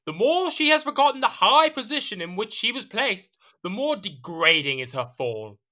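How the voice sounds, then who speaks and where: Jealous, petulant monarch in audio drama: indignant, theatrical, very forceful tone, swooping intonation. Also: male voice, high voice, big pitch swings, moderate pace, exaggerated voice. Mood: suspicious. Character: petulant, jealous, suspicious French king. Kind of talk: audio drama period acting.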